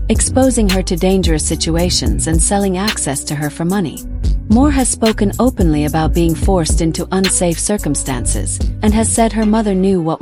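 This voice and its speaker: A woman narrates a scripted piece in a fairly low voice. Music plays clearly behind her.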